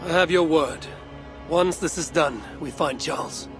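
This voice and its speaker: An actor playing a determined, self-assured Viking warrior in a video game, speaking in a terse, purposeful way. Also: male voice, fairly high pitch, a little slow, lightly acted. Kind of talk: video game character acting. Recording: music behind the voice.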